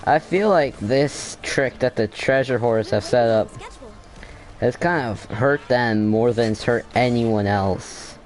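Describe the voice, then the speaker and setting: A man's voice: mid-range voice, takes it slowly, faint music behind. A man giving casual let's play commentary, musing aloud in a hesitant way. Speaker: gaming video creator.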